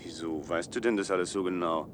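Actor as a lowish-voiced, condescending city man. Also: brisk, noisy background, natural voice. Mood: smug. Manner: lecturing, self-assured. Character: naive, condescending city man on a colonizing expedition. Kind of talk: German science-fiction radio play acting.

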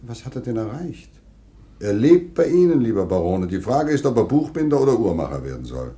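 A genial, persuasive mayor. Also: male voice, lowish voice, sing-song, fairly quick, dark tone. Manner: conciliatory, persuasive. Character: genial middle-aged town mayor. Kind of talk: radio play acting.

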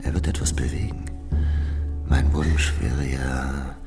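A scheming revolutionary in a radio drama. His extremely low voice crackles and sinks into a slow, conspiratorial whisper, with music underneath. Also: level tone, heavily acted. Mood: conspiratorial, musing. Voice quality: gravelly, breathy half-whisper. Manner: slow, halting, drawn-out phrases. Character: scheming, sardonic male revolutionary agitator.

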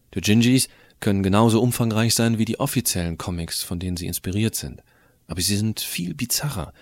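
A man playing a tech-savvy, rebellious high school student who narrates his story in an explanatory, colloquial way. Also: no echo, close to an everyday voice. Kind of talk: scripted audio drama narration.

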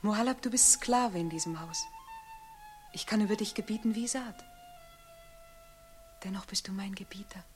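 An actress in a radio drama playing a proud, imperious princess passionately in love, often dropping into an intimate whisper. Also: female voice, medium pitch, very animated, moderate pace, pauses often, darkish tone, lightly acted. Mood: proud, commanding. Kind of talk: classic German radio drama acting. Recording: radio play with music behind.

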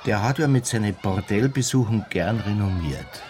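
Man acting a deep-voiced, deflecting small-town official. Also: animated, normal speed, crowd noise, lightly acted. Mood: dismissive. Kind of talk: naturalistic radio drama dialogue. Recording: clear ambient noise behind dialogue.

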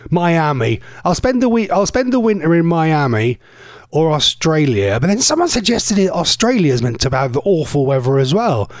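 A man's voice: fairly high pitch, sing-song, moderate pace, no echo, studio quality. A middle-aged man hosting a radio show, rambling through jokey home stories with lots of restarts. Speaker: radio host. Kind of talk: casual radio chat. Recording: music playing under voice.

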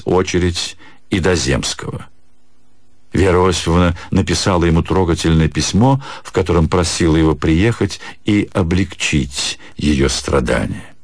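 Deep-voiced male storyteller. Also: dry recording. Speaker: narrator, actor. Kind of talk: dramatised literary narration.

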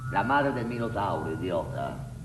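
A man's voice: deep voice, very animated, moderate pace. An actor playing a haughty, scornful male guardian in a theatrical radio drama, declaiming in mocking, old-fashioned phrases. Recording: echoey hall, distant, music behind.

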